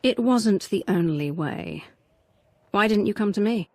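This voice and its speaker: A woman playing a loyal, resolute commander in a sci-fi video game, firm and earnest, with a husky voice. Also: medium pitch, animated, unhurried, darkish tone, dry recording. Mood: hurt. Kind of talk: dramatic video game acting.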